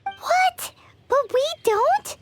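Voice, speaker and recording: A woman voicing a tiny childlike fairy companion in a video game, squeaky, chirpy and blunt. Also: lively intonation, very slow, very noisy, full character voice. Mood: insistent.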